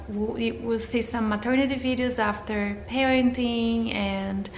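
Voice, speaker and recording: Brief: thirties Brazilian woman, non-native English, a touch high, gravelly edge, roomy living-room sound, faint hiss. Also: fairly bright tone. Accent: Brazilian Portuguese. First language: Portuguese. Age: 30s. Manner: hesitant, with frequent fillers and restarts. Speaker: vlogger. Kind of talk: casual channel introduction to camera.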